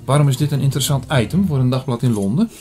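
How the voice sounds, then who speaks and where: A man playing an earnest priest in an audio drama, telling his story in a measured, formal way. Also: mid-range voice, lively intonation, darkish tone, natural voice. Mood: guarded, curious. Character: earnest male priest investigating an apocalyptic prophecy. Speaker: narrator and lead actor. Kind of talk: scripted audio drama, first-person narration. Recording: music under the voice.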